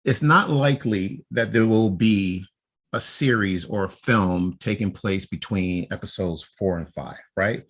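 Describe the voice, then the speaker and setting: A man's voice: on the low side, unhurried, a bit bright. A man co-hosting a casual podcast, laying out his fan theories and often checking in with "right?". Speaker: podcast co-host.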